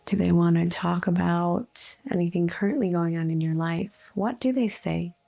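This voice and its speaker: A woman leading a guided meditation in a soothing, unhurried, gently instructive way, with breathy phrase endings. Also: lowish voice. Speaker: guided meditation host, energy healer. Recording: soft music bed behind voice. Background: faint music.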